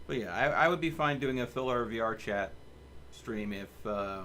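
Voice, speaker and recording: A man's voice: mid-range voice, moderate pace, a bit bright, slight background noise. A man hosting a casual game livestream, chatting and thinking aloud in a rambling way. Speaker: livestream host. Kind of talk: casual chat while live streaming a video game.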